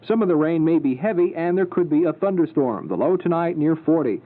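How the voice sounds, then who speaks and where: Male radio news anchor, slightly high voice, scripted bulletin delivery, narrow old AM sound. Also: expressive, brisk. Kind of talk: scripted news and weather reading. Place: radio studio. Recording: band-limited vintage 1970s AM radio recording.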